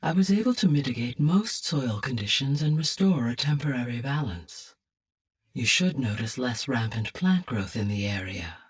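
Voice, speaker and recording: An actress playing a calm, benevolent female artificial intelligence in a video game, speaking in formal, measured, precise phrases. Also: a bass voice, slightly rough, recorded from a distance, heavily acted. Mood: composed, explanatory. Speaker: game character, advisor. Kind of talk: scripted video game dialogue.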